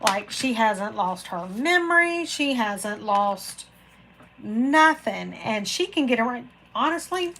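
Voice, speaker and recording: A woman's voice: fairly high pitch, lively intonation, slow, fairly bright tone, a little hiss or hum, low-quality recording. A woman, hosting a casual live chat and rambling to viewers by name. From Florida, USA. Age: 40s. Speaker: craft channel host reading live chat.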